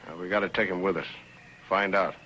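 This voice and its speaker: An actor playing a ruthless, calculating outlaw boss in a 1950s TV western, blunt and commanding, with rustic speech. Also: male voice, sits low, fairly even intonation, fairly quick, pushed and bright, lo-fi sound, a little hiss or hum. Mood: firm, scheming. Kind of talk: 1950s television western acting. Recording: old 1950s TV soundtrack with music.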